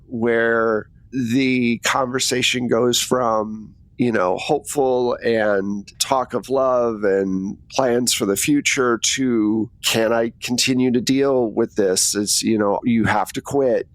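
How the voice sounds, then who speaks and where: A man's voice: fairly low pitch, no echo. A middle-aged man improvising narration as the game master of a tabletop roleplaying game.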